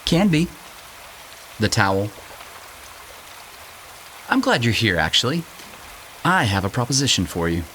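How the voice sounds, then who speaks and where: A man's voice: medium pitch, sing-song, unhurried, pauses often, fairly bright tone. A man in an audio drama playing a flirtatious, wisecracking drifter with a casual, irreverent way of talking. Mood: coaxing, playful. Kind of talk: naturalistic audio drama acting. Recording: rain-like background noise.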